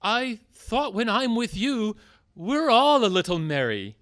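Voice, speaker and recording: Man playing a bright, high-voiced theatrical outlaw leader. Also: big pitch swings, a little slow, full character voice. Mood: earnest pleading. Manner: theatrical, earnest. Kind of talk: radio-play comedy acting.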